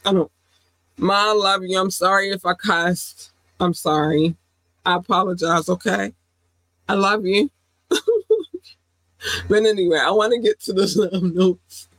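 A woman hosting her own podcast, chatting casually and affectionately, often laughing and jumping into high, excited squeals. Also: dry recording, low-quality recording. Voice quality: affectionate, calls listeners "baby", jumps into high squeals when excited. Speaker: podcast host. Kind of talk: casual solo podcast chat with shout-outs.

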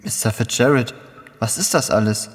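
A man's voice: mid-range voice, moderate pace, close to an everyday voice. Man acting an anxious, bewildered father, reverberant. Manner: stammering, urgent questioning. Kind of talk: German audio drama acting. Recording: long reverb, faint noisy ambience.

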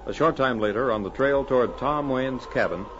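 An actor as a formal narrator telling a frontier adventure in scripted 1940s radio style. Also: male voice, medium pitch, normal speed, fairly bright tone, close to an everyday voice. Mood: scene-setting. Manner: formal storytelling. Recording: dull old radio, crowd and dogs.

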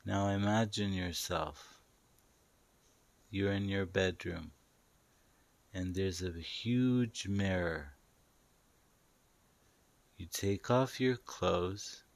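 A man's voice: deep voice, very slow, creaky, long pauses, no room sound. A man narrating a guided meditation in a soothing, instructive, hypnotic manner, repeating short phrases.

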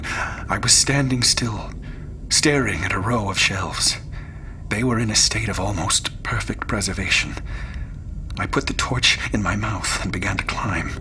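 Haunted explorer over noisy ambience. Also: male voice, medium pitch. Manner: intense, hushed at times, deliberate phrasing. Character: haunted male explorer recounting a terrifying underground ordeal. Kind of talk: dramatic first-person horror narration for radio drama. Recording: clear background noise and ambience.

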